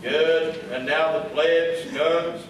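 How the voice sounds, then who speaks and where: A man's voice: on the high side, fairly even intonation, slow pace, a loud crowd. A man playing a pompous, dim lodge leader with a ceremonious, dismissive manner in a comic radio play. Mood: solemn, pompous. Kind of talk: comic radio theatre acting. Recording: echoey hall, distant mic, faint noise.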